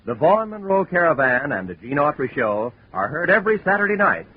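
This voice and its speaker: A dull old radio recording with faint noise carries an American male announcer. His fairly high voice is very animated as he reads scripted promos in fifties broadcast style. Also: fairly quick, husky.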